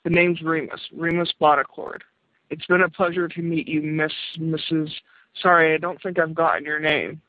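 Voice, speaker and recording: A man voicing a charming, flirtatious male stranger in a read-aloud story, playful and smooth-talking. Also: a little high, steady tone, moderate pace, dry recording, close to an everyday voice. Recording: thin, phone-like narrowband sound.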